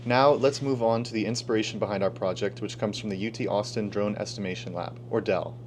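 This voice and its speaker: A man's voice: lowish voice, fairly even intonation, fairly quick. A teenage boy from Pennsylvania giving a scripted student research presentation. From Pennsylvania, United States. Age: teens. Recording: clear background hum and noise.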